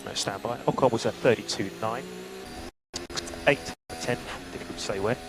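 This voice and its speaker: Over a very noisy team radio with a loud vehicle hum comes a low-pitched man. He is a race engineer, reporting gaps and settings in terse, clipped, procedural phrases. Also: moderate pace. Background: loud hum and vehicle noise.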